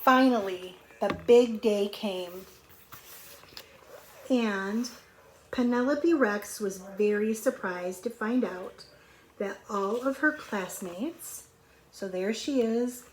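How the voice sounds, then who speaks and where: A woman's voice: medium pitch, takes it slowly, poor audio. A woman teacher reading a storybook aloud to young children, expressively, with conversational asides and questions.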